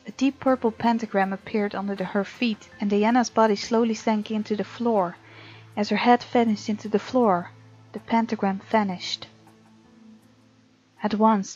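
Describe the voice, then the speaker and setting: A woman reads visual novel narration aloud as a gamer would, informal and expressive. Her mid-pitched voice comes through a slightly dull mic, with game music playing behind it. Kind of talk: reading game narration aloud with casual commentary.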